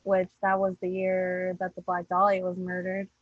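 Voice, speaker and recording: A woman's voice: fairly low pitch, monotone, normal speed, no room sound. A woman podcast host chatting casually and playfully with swearing, on slightly dull online-call audio. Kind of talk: casual chat.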